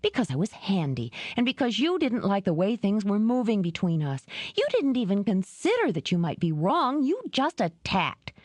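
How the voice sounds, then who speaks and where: A spirited, quick-tempered young woman shopkeeper, as an audiobook narrator puts her on, pitched well above the reader's own low voice and pushed louder with emphatic stress. The sound is close and dry. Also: big pitch swings, no room sound. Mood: indignant. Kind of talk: audiobook character reading.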